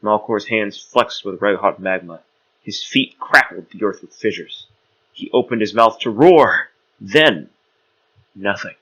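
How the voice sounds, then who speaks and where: Low-voiced dramatic male fantasy narrator. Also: measured pace, sharp tone, dull audio. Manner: portentous, theatrical.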